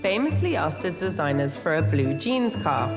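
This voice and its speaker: A woman narrates a scripted documentary in a lowish voice. Loud music plays under her, and there is a long reverb around the sound. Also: very animated, moderate pace.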